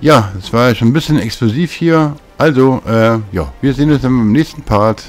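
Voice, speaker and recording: A man's voice: medium pitch, normal speed. A man casually commenting on a video game as he plays, muttering to himself, with game music behind him. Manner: chatty, mutters to himself while playing. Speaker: let's play host.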